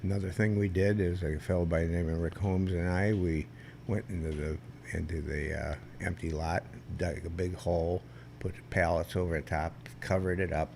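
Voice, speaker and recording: Gravelly deep-voiced older man. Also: fairly even intonation, a little hiss or hum. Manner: rambling, halting, with many restarts; loud voice that carries. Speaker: guest, Vietnam veteran. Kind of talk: casual storytelling interview.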